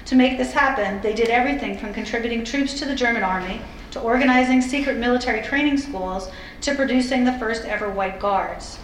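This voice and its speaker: A woman giving a scripted history lecture in a measured, articulate way, with the occasional informal aside. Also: on the high side. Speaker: lecturer presenting a historical talk. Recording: roomy echo with faint background noise.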